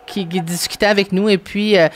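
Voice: medium pitch, fairly even intonation, fairly quick, a little hiss or hum. A correspondent in radio chat, talking hesitantly with many fillers and restarts. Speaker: regional correspondent.

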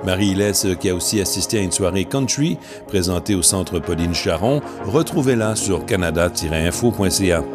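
Low-voiced man presenting a radio segment. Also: expressive, fairly quick, few pauses. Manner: smooth, announcing. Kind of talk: scripted broadcast narration. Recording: radio studio over music bed.

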